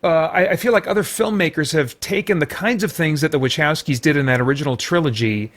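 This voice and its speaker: American guy doing an off the cuff film review, voice a bit on the high side, halting, keeps searching for the right words. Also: a bit bright. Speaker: movie review host.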